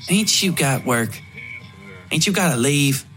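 A man's voice: fairly high pitch, lively intonation, measured pace, fairly bright tone, soft background music, voice pushed up. A man voicing an evasive, scolding country wife in a horror story, with snappish, nagging rural speech. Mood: defensive.

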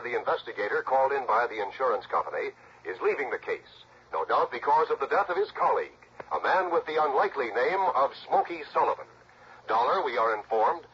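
An actor playing a brisk, gossipy male local newscaster, announcing in a declamatory 1960s radio news style. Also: mid-range voice, a bit bright, slightly rough, exaggerated voice. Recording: dull, faintly noisy old radio recording.

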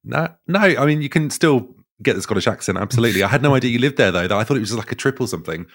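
A man's voice: mid-range voice, expressive, racing, fairly bright tone. A man hosting a podcast, enthusiastic and chatty as he introduces and interviews a guest. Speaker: podcast host.

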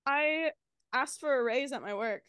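A woman's voice: high-pitched, unhurried, fairly bright tone, no room sound, clean studio sound. A woman in her late twenties co-hosting a podcast, chatting casually and bluntly, with jokes and swearing. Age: 20s. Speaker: podcast co-host. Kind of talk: casual chat.

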